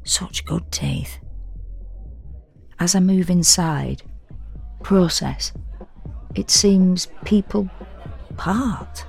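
A woman playing an exhausted, fretful woman who narrates a confessional audio drama monologue in an intimate, wry, deliberate way. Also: low voice, creaky, darkish tone, husky, heavily acted. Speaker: narrator and actor.

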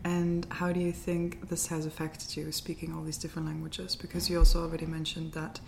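Woman podcast host, slightly low voice, easygoing interviewer, light background hiss. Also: completely flat delivery, fairly quick, creaky, a little mellow. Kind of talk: casual interview.

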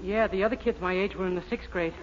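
A man's voice: sits high, fast talker, lightly acted. An actor playing a naive, dim but self-assured young man in a 1940s radio comedy, on a muffled, very noisy recording. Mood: innocent, self-satisfied. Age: young adult. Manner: guileless, simple-minded.

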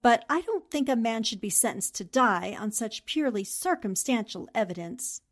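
A woman's voice: on the high side, expressive, moderate pace. A woman playing a determined, principled newspaperwoman in a 1940s radio drama, speaking in an assertive, articulate and polite way. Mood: resolute, challenging. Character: determined, principled newspaperwoman investigating a murder case.

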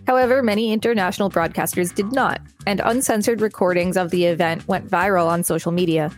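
A woman hosting a show and reading an encyclopedia-style article aloud. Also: medium pitch. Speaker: podcast host and reader. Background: background music.

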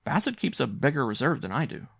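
Storybook young boy, played by a man: raised pitch, grave, earnest, insistent, very dry close sound. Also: sing-song, quick pace, creaky, fairly bright tone, slightly rough, dry recording, full character voice. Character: earnest, intense young boy, secretive gambler.

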